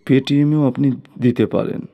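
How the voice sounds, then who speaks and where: A man voicing a brisk, money-minded family doctor in a story. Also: medium pitch, fairly even intonation, gravelly, dull audio, voice pushed up. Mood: brisk, businesslike.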